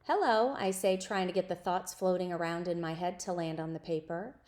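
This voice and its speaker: A woman reading a children's novel aloud in an expressive storytelling style. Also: fairly low pitch, brisk.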